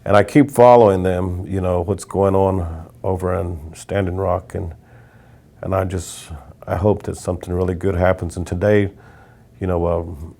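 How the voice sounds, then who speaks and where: A man's voice: low-pitched, gravelly, dark tone, breathy edge. A man answering interview questions in a broadcast studio, talking hesitantly and restarting his sentences.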